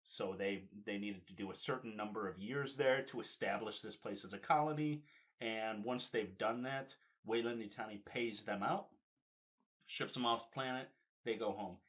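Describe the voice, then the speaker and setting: A man's voice: on the low side, normal speed, fairly bright tone. A man chatting casually about films and comics, explaining things in a loose, step-by-step storytelling way.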